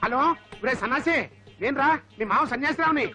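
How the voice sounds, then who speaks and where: A man playing an agitated, indignant middle-aged uncle in a film comedy, loud and exclamatory. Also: very high-pitched, a little fast. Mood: anxious, pleading. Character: agitated, blustering comic uncle and aggrieved father. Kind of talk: Telugu film comedy acting. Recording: dull lo-fi film soundtrack, faint music.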